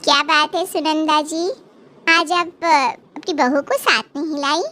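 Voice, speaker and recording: A woman's voice: high-pitched, steady tone, a little slow, bright, forceful tone. A woman voicing a chatty, sympathetic gossip in a Hindi cartoon story. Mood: pitying, gossipy. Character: chatty, sympathetic gossiping female temple friend.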